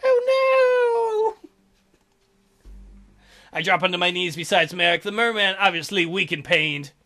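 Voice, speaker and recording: A man's voice: sits high, a little fast, bright, forceful tone. A man hosting a let's play, reading a visual novel aloud and tossing in sarcastic, joking asides.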